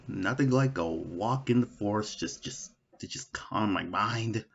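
A man voicing an angsty, short-tempered hedgehog antihero in an improvised comedy parody dub, with a halting, exasperated manner. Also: lowish voice, expressive, gravelly, lo-fi sound, full character voice. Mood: fed up.

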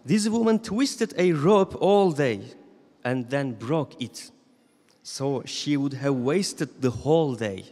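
A man in his thirties giving a Friday sermon as imam, reading from notes in a measured, solemn way at a stand microphone in a large mosque hall. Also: mid-range voice, animated, darkish tone. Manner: measured, solemn reading with slow emphatic phrases. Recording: long reverb of a mosque hall.

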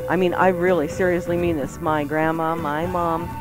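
A woman's voice: lowish voice, steady tone, normal speed, music in the background. A woman co-hosting a radio show with casual, playful banter. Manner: playful, giggly. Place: radio studio.

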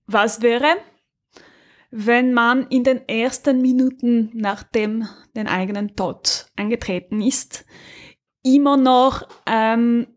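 A woman co-hosting a podcast, talking casually about a radio drama with many fillers, restarts and laughs. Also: on the high side. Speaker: podcast co-host.

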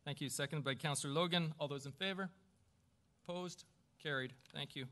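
Bright-voiced man chairing a council meeting. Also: on the high side. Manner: halting, self-deprecating, stumbling over names. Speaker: newly elected mayor chairing a council meeting. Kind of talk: formal meeting procedure with informal, joking asides. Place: council chamber with a small audience.

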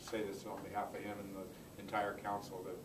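A middle-aged man giving an informal, halting thank-you speech as a council member. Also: on the low side, completely flat delivery. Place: library community room, indoors. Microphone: far camera microphone. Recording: distant camera, echoey room, clear noise.

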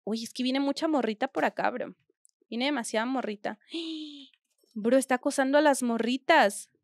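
Young Mexican woman, game streamer, high voice, slangy, chatty, dry close sound. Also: moderate pace, no room sound. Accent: Mexican Spanish. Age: young adult. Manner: chatty, slangy, thinks aloud while playing. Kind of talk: casual gameplay commentary. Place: small indoor room at home.

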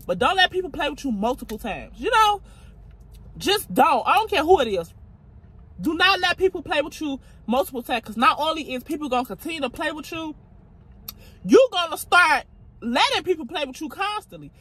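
A woman's voice: high voice, very animated, normal speed, a bit bright. A woman, talking casually to camera from a parked car, blunt and outspoken. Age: 20s. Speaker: vlogger. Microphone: phone camera on dashboard.

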